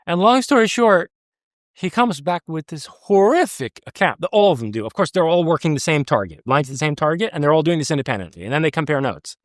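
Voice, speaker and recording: Emphatic man guest, higher voice. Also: sing-song, fast talker, dry recording, studio quality. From United States. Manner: earnest, emphatic, many restarts and fillers. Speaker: interview guest. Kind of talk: conversational interview.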